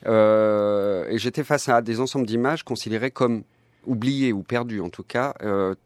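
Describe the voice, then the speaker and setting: A man, a documentary filmmaker, answering interview questions in a thoughtful, halting way and repeating words. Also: fairly low pitch, no echo.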